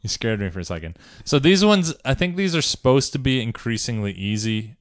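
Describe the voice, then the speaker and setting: A man's voice: medium pitch, expressive, a little fast, dry recording, studio quality. A man casually quizzing a friend on comic character names, playful and laughing along. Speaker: quiz host. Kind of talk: casual chat.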